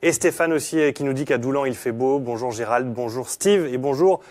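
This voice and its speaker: A man hosting a live regional TV show, relaxed and friendly, laughing easily. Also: medium pitch, a little fast. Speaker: TV show host.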